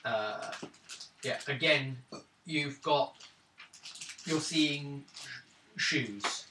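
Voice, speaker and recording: A man acting as game master, narrating a tabletop roleplaying game in a halting, thinking-aloud way. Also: mid-range voice, sharp tone. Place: indoors, around a gaming table. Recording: roomy, distant mic, hissy background noise.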